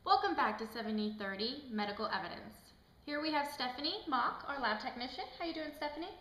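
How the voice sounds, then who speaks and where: Bright, lively woman presenting in an echoey room. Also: high-pitched, fast. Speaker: host of a mock TV health show. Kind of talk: scripted presenting, often breaking into laughter. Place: indoor room, amateur student video. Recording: echoey room with background noise.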